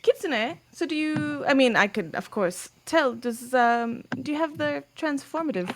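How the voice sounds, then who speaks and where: A woman's voice: sits high, lively intonation, measured pace, pitched above the performer's own voice. A woman voicing a polite, bookish male scholar with a British accent, formal and a little hesitant. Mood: curious.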